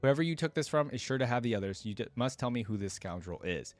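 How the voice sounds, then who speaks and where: A man's voice: medium pitch, expressive, quick pace, no room sound, soft background music, lightly acted. A suave young vigilante, read out by a man, grieving and intense beneath his wry politeness. He questions people pointedly, in storybook style, with a mid-range voice and dry sound. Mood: coaxing.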